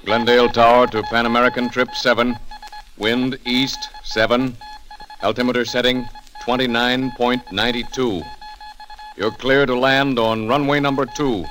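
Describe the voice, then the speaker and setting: An actor playing a businesslike airport tower controller in a 1940s radio drama, with clipped procedural delivery over a radio. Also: male voice, mid-range voice, flat delivery, measured pace, fairly bright tone, poor audio. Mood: flat, businesslike. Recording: old 1940s radio broadcast.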